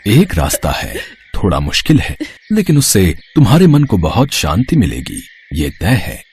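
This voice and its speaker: A man playing a wise old fakir in an audio folk tale, giving slow, fatherly, solemn counsel. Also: deep voice, huge pitch swings, a little mellow, breathy edge, exaggerated voice. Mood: solemn, gentle. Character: wise old male fakir, calm mystic holy man.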